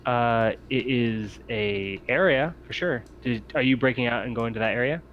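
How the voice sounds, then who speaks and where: A man's voice: medium pitch, normal speed. A man narrating a tabletop roleplaying game as game master, feeling his way through phrases with frequent ums. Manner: hesitant, piecemeal phrasing with many ums. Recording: muffled lo-fi audio with background noise.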